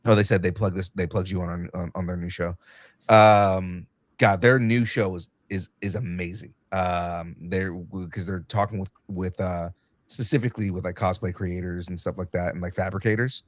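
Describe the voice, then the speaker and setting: Young man, podcast host, lower voice, slight cold, dry close sound, excitable, stammering. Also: normal speed, dry recording. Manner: excitable, swears freely, stammers and restarts. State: light cold with a cough. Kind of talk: casual podcast chat.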